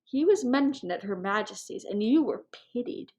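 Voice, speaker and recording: Woman acting gushing aristocratic hostess, fairly high voice. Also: animated, normal speed, dark tone, lightly acted. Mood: confidential. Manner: effusive, confiding, courtly. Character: gushing aristocratic society hostess, coyly melancholy and meddlesome.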